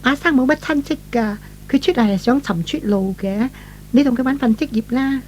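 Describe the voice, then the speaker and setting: A man's voice: very high-pitched, a little fast, a little hiss or hum, pitched above the performer's own voice. A man voicing a sweet, grateful young woman, a dutiful daughter, with a pleading, polite manner in a radio melodrama. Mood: polite, coaxing.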